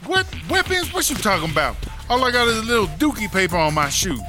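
A man's voice: high-pitched, big pitch swings, quick pace, music in the background. A man playing Chartreuse, a brash, foul-mouthed, dramatic female prison inmate, in a slangy, exclaiming drawl for a comedy audio drama. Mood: pleading, indignant. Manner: slangy, melodramatic, grumbling.

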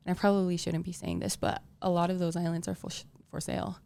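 A woman's voice: on the low side, fast talker, vocal fry, mellow. A woman from Tonga whose first language is Tongan, chatting casually as a podcast guest, with plenty of fillers. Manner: chatty, many fillers like "like" and "you know". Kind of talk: casual interview chat.

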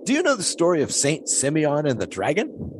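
A man's voice: a little high, huge pitch swings, a little fast, a little hiss or hum. A man playing an eccentric, devout 1920s pole-sitter in an audio drama, musing in folksy, old-fashioned storytelling phrases. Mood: solemn storytelling.

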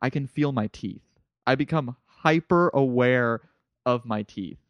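A man's voice: medium pitch, measured pace, dry recording. A man in his early twenties, a comedian guest telling rambling stories with lots of restarts in a casual podcast chat. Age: 20s. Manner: eager, rambling storyteller with many restarts.

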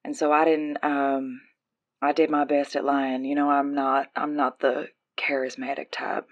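A woman playing a hesitant, self-doubting, unassuming investigator in a tabletop audio drama, speaking in a halting, tentative way. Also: extremely low pitch, level tone, no echo, dull audio. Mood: hesitant, earnest.

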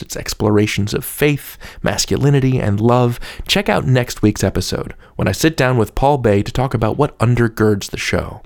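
A man's voice: fairly low pitch, creaky. A man hosting a podcast, reading a scripted outro and credits with playful asides. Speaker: podcast host.

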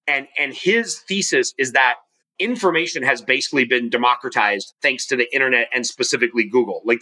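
A man in his forties, a guest on a podcast, talking fast and energetically, rambling with restarts. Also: medium pitch, sharp tone, low-quality recording. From California. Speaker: podcast guest, mortgage professional and coach. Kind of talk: casual interview talk.

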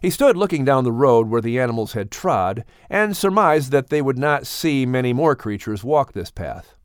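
A man narrating an audiobook story in a solemn, gentle storytelling manner. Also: mid-range voice, animated, normal speed, clear, resonant tone, dry recording, studio quality.

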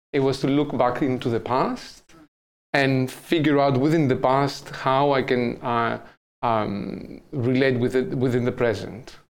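A middle-aged man from Greece, a native Greek speaker, answering interview questions as an artist in a thoughtful, halting way with many fillers. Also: mid-range voice. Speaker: artist guest. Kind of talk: interview conversation.

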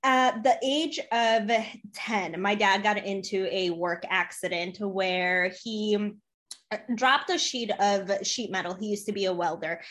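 A woman's voice: mid-range voice, unhurried, fairly bright tone. A young woman from Poland, a guest on a podcast, talking in a bubbly, giggly way. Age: young adult. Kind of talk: casual podcast interview.

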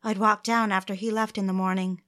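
A woman's voice: mid-range voice, little pitch movement, fairly quick, a bit bright, natural voice. An actress playing a haunted woman who narrates her secret in a dramatic first-person audio drama. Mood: somber, confiding.

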